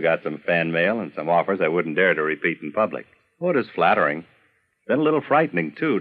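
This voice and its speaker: A man's voice: low-pitched, phone-quality sound. An older American man, a veteran announcer, narrating radio memories from a script with careful, polished diction.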